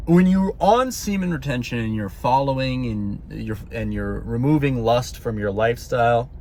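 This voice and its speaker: A man's voice: medium pitch, darkish tone, a little hiss or hum. Earnest man rambling to his viewers. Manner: keeps tagging lines with "okay?", repeats words. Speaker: spiritual vlogger. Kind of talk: casual monologue to viewers.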